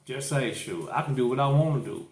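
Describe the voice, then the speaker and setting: A man's voice: medium pitch, lively intonation, fairly quick. Insistent St. Louis man, echoey room. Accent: St. Louis, Missouri. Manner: insistent, repeats himself. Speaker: podcast host. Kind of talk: casual debate.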